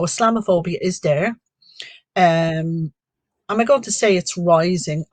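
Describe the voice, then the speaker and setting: A woman's voice: lowish voice, dry recording. Earnest Dublin woman, dry sound. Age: middle-aged. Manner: earnest, personal, with frequent pauses and "um". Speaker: panel guest. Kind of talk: live community discussion.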